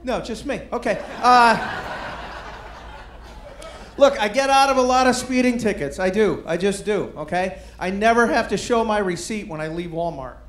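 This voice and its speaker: A middle-aged man doing a stand-up comedy set on a club stage, deadpan and self-deprecating, with rambling asides. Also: sits high, moderate pace. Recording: roomy live club with crowd noise. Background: audience murmur and laughter.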